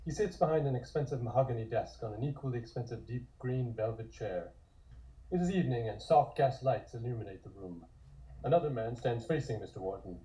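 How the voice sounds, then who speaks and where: A man narrates a script at a table read. His voice is mellow and unforced, sitting at a middle pitch, as he reads out scene descriptions. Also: dull audio.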